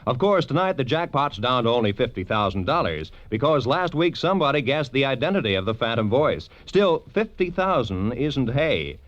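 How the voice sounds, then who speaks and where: An American man announcing for network radio in a polished, emphatic 1940s promotional style. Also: mid-range voice. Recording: dull vintage 1940s radio broadcast.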